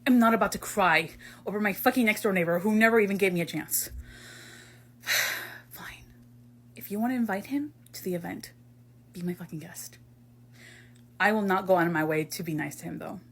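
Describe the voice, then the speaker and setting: A woman playing a proud, wounded young man in an amateur audio drama, blunt, emphatic and sweary, with a husky voice. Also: medium pitch, brisk, pauses often. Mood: grudging, exasperated.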